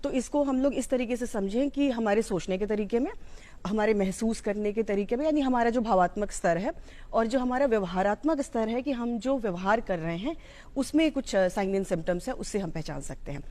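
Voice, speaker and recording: A woman's voice: on the high side, lively intonation, normal speed, mellow. A woman with an Indian accent giving an informal, explanatory educational talk, mixing in English terms. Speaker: psychology educator.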